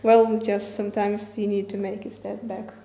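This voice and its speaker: A young woman, a film director answering questions in a halting way, searching for words with many fillers. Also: medium pitch, level tone, warm tone. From Ukraine. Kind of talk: festival Q&A answers. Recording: roomy with faint music behind.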